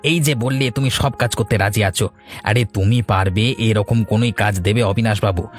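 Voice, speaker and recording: A man's voice: fairly low pitch, normal speed, soft background music, lightly acted. A man voicing a sly, coaxing village go-between in a cartoon tale. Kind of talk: Bengali fairy-tale cartoon voice acting.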